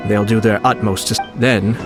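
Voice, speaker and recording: An actor playing a shrewd, courteous young clan lord in a video game, speaking in a measured, calculating way. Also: male voice, lowish voice, steady tone, natural voice. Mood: calculating, confident. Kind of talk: scripted video game dialogue acting. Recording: background music under the voice.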